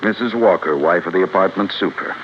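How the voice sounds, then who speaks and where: An actor playing a stern, weary homicide sergeant with an American accent, clipped and deliberate, in 1950s radio crime drama style. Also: male voice, sits low, lightly acted. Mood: stern, insistent. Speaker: supporting actor. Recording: dull, slightly noisy vintage radio recording.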